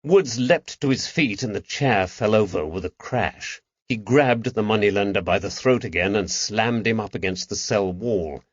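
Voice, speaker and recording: A man's voice: fairly low pitch, moderate pace, few pauses, slightly rough, no room sound, lo-fi sound. A man narrating a story as a scripted, dramatic audiobook reading.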